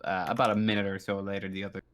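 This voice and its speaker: A man narrating a tabletop roleplaying game as game master, in a halting, improvised way. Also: low-pitched, little pitch movement, fairly bright tone, smooth.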